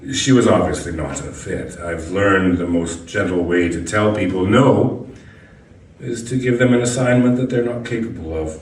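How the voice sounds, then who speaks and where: Low-voiced man reading, echoey and distant. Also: moderate pace. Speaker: reader of a short story. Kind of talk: scripted narration, live literary reading. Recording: echoey room, distant mic, background noise.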